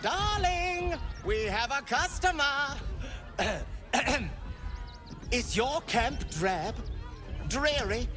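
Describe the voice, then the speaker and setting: A fussy, flamboyant merchant character for a fantasy game. His voice is high and very animated, delivering grandiose, besotted patter at a stately pace. Music plays behind him. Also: extremely slow, long pauses, full character voice. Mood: eager, showy. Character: eager, besotted, flamboyant male sculptor-merchant. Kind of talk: theatrical game character acting.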